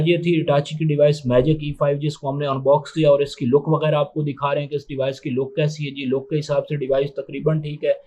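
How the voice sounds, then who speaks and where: A man with a slightly higher-pitched voice walks through a product demonstration. His intonation stays flat and even. He explains each step plainly and methodically, in the unscripted manner of an unboxing reviewer. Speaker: tech reviewer presenting a phone unboxing.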